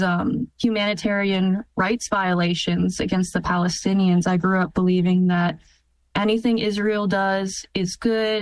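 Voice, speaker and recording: A young American woman in her twenties giving earnest personal testimony in an interview, in a halting, reflective way. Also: mid-range voice, no echo, low-quality recording. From United States. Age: 20s. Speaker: interview guest, military officer.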